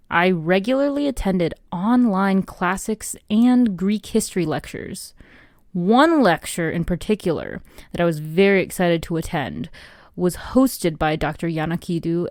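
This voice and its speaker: A woman hosts a podcast and delivers a bubbly, excited intro with a few self-deprecating asides. Her voice is slightly low for a woman. The sound is dry and close to the mic, with no room around it. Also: no echo. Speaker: podcast host.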